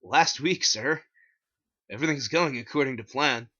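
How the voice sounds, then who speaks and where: Knowing male cashier, voiced by a man: polite, assured, forceful, dull lo-fi recording. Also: medium pitch, moderate pace, pitched above the performer's own voice. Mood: knowing, obedient. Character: smirking, deferential male store cashier, knowing.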